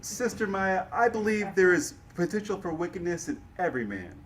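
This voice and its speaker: A man playing an earnest, impassioned civil rights activist and orator in a dramatic reading, speaking in measured oratory. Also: high voice, sing-song, a bit bright, a little hiss or hum. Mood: reflective, resolute. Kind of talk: dramatic reading of historical dialogue.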